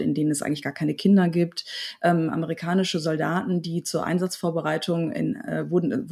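A woman's voice: low-pitched, gravelly, darkish tone, husky. A woman, explaining as a guest reviewer in an interview, hesitating and correcting herself as she goes. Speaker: book reviewer, studio guest.

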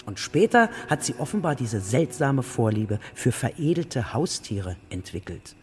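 An older woman playing a spirited, affectionate grandmother in a radio play, talking in a lively way. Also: rumbling low, measured pace, heavily acted. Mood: spirited, confiding. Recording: reverberant hall, music behind.

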